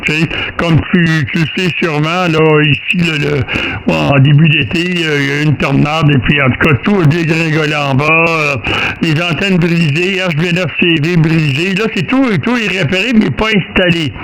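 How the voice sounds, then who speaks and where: A man's voice: on the high side, normal speed, fairly bright tone. A man with a Quebec French accent, chatting casually and in a rambling, colloquial way as an amateur radio operator. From Quebec, Canada. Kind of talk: casual radio contact chat. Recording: clearly noisy amateur radio contact recording.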